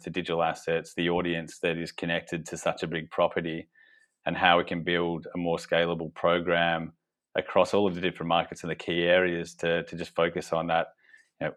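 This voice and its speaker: An Australian man with a very deep voice talks business in an even, flat tone. He pads his answers with ums and you-knows as he explains his work. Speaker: company co-founder and guest. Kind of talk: conversational interview answers.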